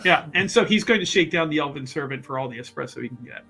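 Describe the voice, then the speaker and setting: A man playing a tabletop game, chatting casually and jokingly, quick to laugh. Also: on the high side, animated, pushed and bright.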